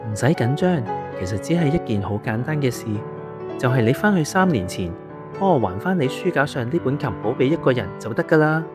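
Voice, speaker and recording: A male actor as a calm angel consoles in Hong Kong Cantonese, composed and pious. The voice is mid-pitched, set against clear guitar music in a radio drama. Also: a little fast, close to an everyday voice. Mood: reassuring. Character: calm, consoling male angel who calls people brother.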